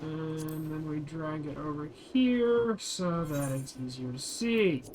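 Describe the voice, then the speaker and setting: A man's voice: on the high side, takes it slowly, darkish tone, faint music behind. A man giving casual, offhand let's-play commentary as he describes a game, thinking aloud and swearing freely. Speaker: game streamer describing play for blind viewers.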